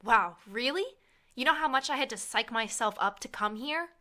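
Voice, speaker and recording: A woman playing a hurt, resentful and anxious young student in an audio drama, sharp but vulnerable. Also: on the high side, animated, moderate pace. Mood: bitter, defiant.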